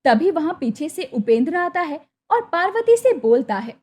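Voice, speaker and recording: A woman's voice: sits high, very animated, moderate pace, a little mellow. A woman reading scripted narration as the storyteller of a cartoon moral tale.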